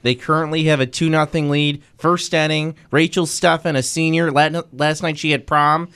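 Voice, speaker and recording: Smooth-voiced man giving scores. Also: on the high side, flat delivery, fairly bright tone. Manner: brisk, matter-of-fact reporting of stats. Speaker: sports radio host giving score updates. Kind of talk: radio score update.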